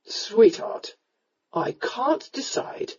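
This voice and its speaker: A woman narrator plays a tipsy Regency gentleman from a historical romance. He rambles in a low, mellow drawl, slurring his words with lazy, roguish amusement. The recording is slightly dull. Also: expressive, measured pace, breathy edge, heavily acted. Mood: rueful, teasing.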